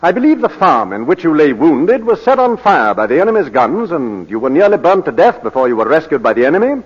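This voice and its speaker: Courtroom barrister, British, formal and oratorical, highish husky voice, old radio drama. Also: male voice, very animated, fairly quick. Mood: measured, probing. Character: formal, courteous English barrister questioning his client. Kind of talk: 1940s radio drama acting. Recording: muffled vintage 1940s radio broadcast.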